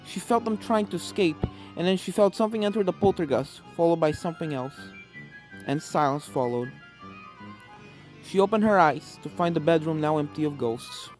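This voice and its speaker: A woman's voice: low-pitched, expressive, normal speed, a little mellow. A woman narrating a scripted story expressively. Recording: music behind the voice.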